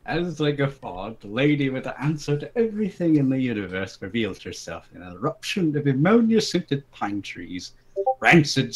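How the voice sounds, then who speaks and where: A man's voice: mid-range voice, a bit bright, poor audio. A man giving a dramatic comic reading in a casual group voice chat, prone to breaking into giggles. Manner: giggly, often breaks into laughter. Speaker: reader of a comic story. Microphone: home mic in an online voice chat.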